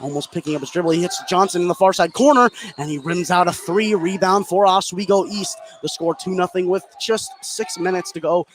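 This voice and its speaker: A man with a fairly high voice gives live basketball play-by-play for radio. He is courtside in a gym, with clear noise all around him. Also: fairly quick, few pauses. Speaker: play-by-play sports commentator. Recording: clearly noisy gym ambience.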